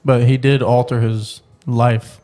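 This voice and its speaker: Man, medium pitch, casual chat, informal delivery. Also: flat delivery, slow pace, warm tone, soft background music.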